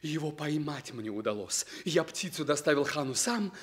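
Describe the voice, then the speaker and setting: Proud, swooping young prince. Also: male voice, on the high side, animated, normal speed, fairly bright tone, slightly rough. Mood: proud, fervent. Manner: declamatory, emphatic. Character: proud young exiled prince in a fairy-tale tragicomedy. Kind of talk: theatrical radio drama acting.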